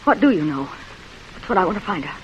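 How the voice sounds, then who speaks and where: A woman's voice: fairly low pitch, sing-song, quick pace. A woman playing a tough, suspicious, sardonic gun-toting dame in a 1940s radio crime drama, curt and wisecracking. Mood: suspicious, hostile. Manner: hard-boiled, curt, wisecracking. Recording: muffled, noisy vintage radio recording.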